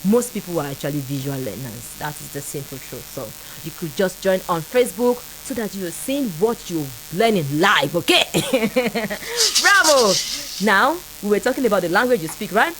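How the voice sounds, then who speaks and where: A woman with a Nigerian accent hosting a call-in radio French lesson, laughing often and slowly repeating phrases for listeners to copy. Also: medium pitch, expressive, noisy background. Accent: Nigerian English. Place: radio studio.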